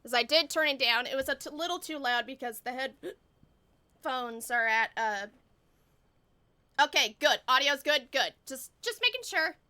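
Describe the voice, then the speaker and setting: A woman chatting casually and a bit scatteredly to viewers as she livestreams a game, excitable in manner. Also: high voice, a little slow, no room sound. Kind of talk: casual livestream chat while gaming.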